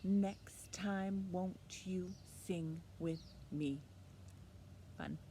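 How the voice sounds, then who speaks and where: Woman, children's storytime teacher, slightly low pitch, very unhurried, encouraging, noisy recording. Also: steady tone, mellow. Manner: encouraging, simple step-by-step talk to children. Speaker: library storytime presenter teaching young children.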